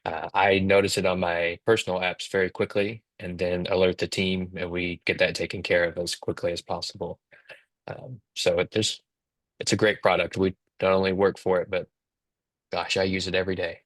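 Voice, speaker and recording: A man's voice: deep voice, flat delivery, moderate pace, a bit bright, no room sound. A man in his thirties co-hosting a casual instructional chat on a video call. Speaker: co-host, app company team member. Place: indoors on a video call, virtual background.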